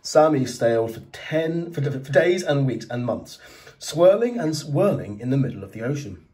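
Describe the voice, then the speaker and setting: Expressive man reading a children's story. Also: medium pitch, normal speed, distant mic.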